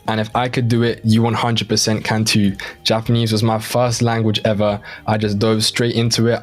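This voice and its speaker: Young male native English speaker for language-learning explainer: lowish pitch, little melodic movement, casual and reassuring. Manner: encouraging, reassuring. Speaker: language-learning video host. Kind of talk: casual explanatory talk to camera.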